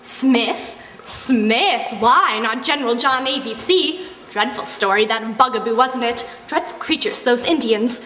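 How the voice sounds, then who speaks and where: A man's voice: extremely high pitch, a little slow, pushed and bright, slight background noise, exaggerated voice. A man voicing a breathless society lady at a ball, chattering in exclamations. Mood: gushing. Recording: echoey room, mic far off.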